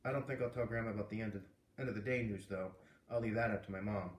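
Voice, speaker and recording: A man narrating a children's book aloud in an expressive storytelling style. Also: fairly low pitch, fast talker, roomy sound.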